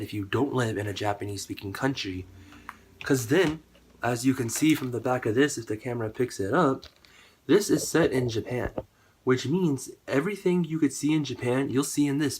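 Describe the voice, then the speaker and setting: A man with a cold and a partly lost voice, talking casually to camera in a rambling, conversational way. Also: mid-range voice. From United States. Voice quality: husky. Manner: rambling, conversational, with many fillers. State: sick, voice partly gone. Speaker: YouTuber.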